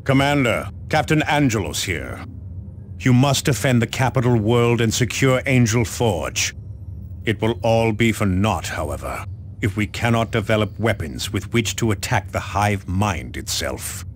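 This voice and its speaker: An actor playing an authoritative, resolute space marine captain giving emphatic orders in a video game. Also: male voice, lowish voice, sing-song, measured pace, a little hiss or hum. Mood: commanding, grave. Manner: commanding, emphatic. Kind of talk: scripted game mission briefing.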